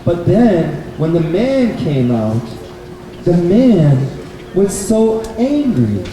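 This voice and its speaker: High-voiced man storytelling in an echoey room. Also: sing-song, drawn-out words, warm tone. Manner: simple, repetitive phrasing with questions to listeners. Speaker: puppet show storyteller. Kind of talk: storytelling to children. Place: bookstore event space. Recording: very echoey hall, distant mic, noisy.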